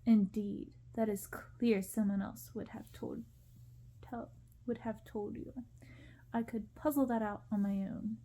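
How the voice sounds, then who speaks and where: A woman's voice: mid-range voice, warm tone, slight background noise, natural voice, rough recording. A woman reading a storybook in ASMR style, voicing a cold, bullying young prince who is curt and condescending.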